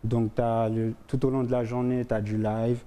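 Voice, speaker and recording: A man, a music artist, answering questions in a relaxed, hesitant way. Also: medium pitch, moderate pace, smooth. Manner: relaxed, hesitant, searches for words. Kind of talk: casual interview answers.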